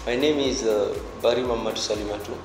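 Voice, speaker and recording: A man's voice: medium pitch, quick pace. A young man from Guinea introducing himself as a student council secretary, hesitant and repeating words. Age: young adult. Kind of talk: self-introduction to camera. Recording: echoey hall, music behind.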